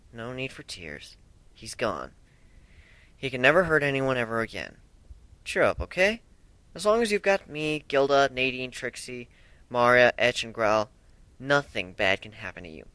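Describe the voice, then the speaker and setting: A man's voice: mid-range voice, bright, forceful tone, no room sound. A man voicing a tough, joking, protective griffin warrior with a brash, casual way of talking in a story reading.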